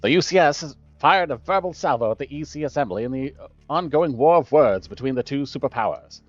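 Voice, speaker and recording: A man playing a brisk, formal newsreader delivering a fluent scripted bulletin in a story game. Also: mid-range voice, animated, dry recording, close to an everyday voice. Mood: brisk reporting. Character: brisk, formal male newsreader reporting international crisis. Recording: dull lo-fi audio with music behind.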